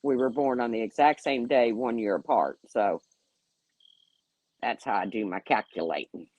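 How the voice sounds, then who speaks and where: A woman's voice: extremely low pitch, normal speed, a little mellow, dry recording. A woman in her late fifties hosting a casual livestream, talking bluntly and sarcastically as she rambles and answers her chat. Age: 50s. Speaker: YouTube livestream host.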